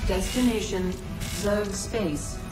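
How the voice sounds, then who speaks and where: Woman as emotionless ship computer, slow clipped impersonal announcements, echoey, loud music, game. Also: mid-range voice, natural voice. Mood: neutral. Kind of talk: video game voice acting. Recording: echoing, loud music behind.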